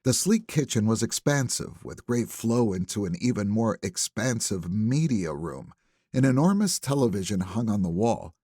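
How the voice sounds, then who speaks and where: A man narrating a first-person thriller audiobook in a wry, conversational, expressive manner. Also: fairly low pitch, normal speed, no room sound, studio quality.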